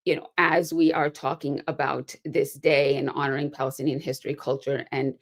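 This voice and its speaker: A low-pitched woman gives earnest, measured remarks as a panelist on a video call. She leans on rhetorical asides to keep her listeners with her. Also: level tone. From United States. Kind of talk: prepared remarks in an online panel.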